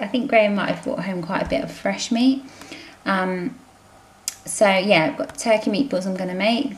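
British woman, roomy homemade vlog sound. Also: mid-range voice. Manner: informal, chatty. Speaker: family vlogger. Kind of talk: casual grocery haul chat, voice-over to handheld filming. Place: indoor room at home. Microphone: handheld camera microphone. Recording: roomy, noisy home camera recording.

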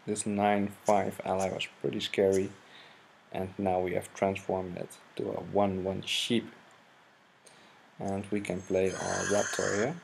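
A young man from the Netherlands with a Dutch accent, hesitantly commenting on his own card game match in halting English. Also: deep voice, creaky. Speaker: gaming channel host. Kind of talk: gameplay commentary. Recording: noisy home mic recording.

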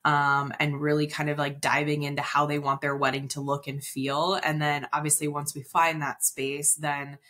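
A woman's voice: low voice, completely flat delivery, normal speed, hardly any pauses, a bit bright. A woman, chatting and explaining as a guest in a casual podcast interview. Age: 30s. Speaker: interview guest, wedding planner. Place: indoor room on video call.

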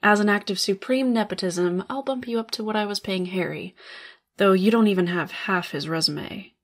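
A woman's voice: mid-range voice, normal speed, close to an everyday voice. A woman playing a cold, calculating spider-woman law boss in an ASMR roleplay, sardonic and condescending. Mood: smug. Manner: sardonic, condescending, drawling with smug chuckles.

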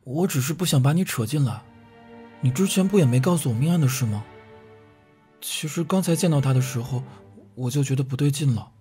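男声演绎柔和内敛的年轻学生. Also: male voice, medium pitch, fairly quick, warm tone, faint music behind, lightly acted. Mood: earnest, explaining. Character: gentle, reserved male student, shy young lover. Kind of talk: Chinese audio drama acting.

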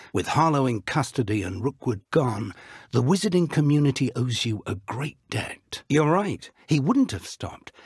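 A man playing a kindly, earnest elderly British wizard professor in a video game, with measured, refined, formal phrasing and a weathered voice. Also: mid-range voice, darkish tone, slightly rough, dry recording, studio quality. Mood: solemn, reassuring. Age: older. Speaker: game character voice actor. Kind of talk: video game dialogue acting.

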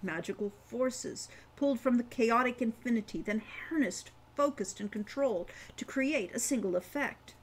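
A woman in her sixties reading fantasy fiction as its author, with deliberate, dramatic phrasing. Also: on the high side, breathy edge. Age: 60s. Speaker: author reading own novel. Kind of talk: audiobook-style fantasy narration. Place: home room with kitchen behind.

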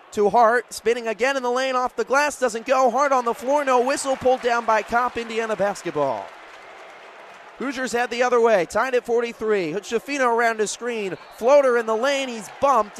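A man in his twenties doing sports commentary and reporting in crisp, polished short phrases. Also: sits high, fairly bright tone, a little hiss or hum. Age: 20s. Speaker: play-by-play sports broadcaster and reporter.

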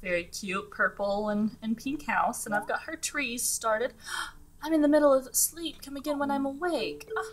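A young woman hosting a relaxed gaming video, talking through her play in a chatty, giggly way with lots of fillers, her voice a little scratchy from the early morning. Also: a little high, normal speed. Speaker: gaming channel host. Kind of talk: casual let's-play commentary. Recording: game music underneath the voice.

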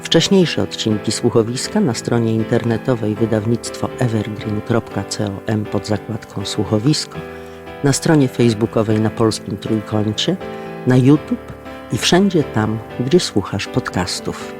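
A man announcing audio drama credits in a measured, formal, scripted manner. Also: on the low side, animated. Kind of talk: scripted narration. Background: guitar music.

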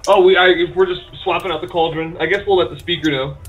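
A man's voice: sits high, fast talker. A man playing a tabletop game online, joking and swearing casually. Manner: animated, jokey, swears casually. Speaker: player. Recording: lo-fi mic.

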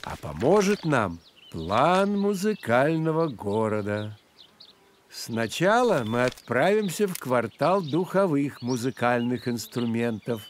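Slow-spoken, theatrical grasshopper maestro. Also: male voice, medium pitch, very animated, slight background noise. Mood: explanatory, grand. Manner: theatrical, measured, explanatory. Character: courtly grown-up male grasshopper maestro guiding children through music. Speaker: guide and teacher. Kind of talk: animated educational storytelling. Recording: music behind the voice.

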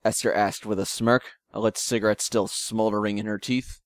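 A man's voice: on the low side, steady tone, normal speed, no room sound, clean studio sound. A man narrating a scripted audio drama in a wry storytelling manner. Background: music.

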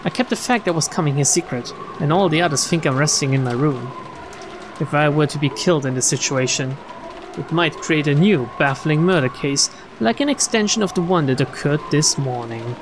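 A man's voice: a little high, expressive, moderate pace, noisy background, lightly acted. A man voicing a proud, anxious, dutiful noblewoman in formal, dramatic inner monologue for a mystery visual novel. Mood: uneasy.

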